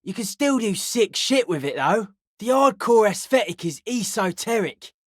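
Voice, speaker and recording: A woman voicing a suspicious, aloof young hardcore raver in a video game, speaking in deadpan, clipped, cryptic pronouncements. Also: medium pitch, moderate pace, no echo, studio quality. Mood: dry, guarded. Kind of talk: video game character dialogue.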